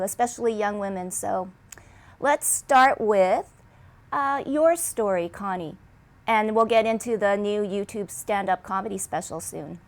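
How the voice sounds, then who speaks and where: A woman with a fairly high voice hosts a casual interview, bubbly and playful. She jokes and laughs easily as she welcomes her guest. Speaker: host.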